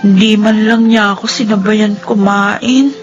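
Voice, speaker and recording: A Filipino woman acting in a radio drama as a blind young wife, gentle, affectionate and apologetic. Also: medium pitch, steady tone, unhurried, highs cut off, loud music behind the voice, natural voice. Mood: hurt, pleading.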